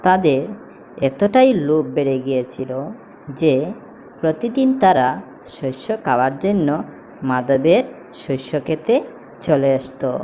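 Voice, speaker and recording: Female storyteller, low pitch, unhurried scripted children's narration, clear background noise. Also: animated, warm tone, poor audio. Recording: noticeable background noise, ambience.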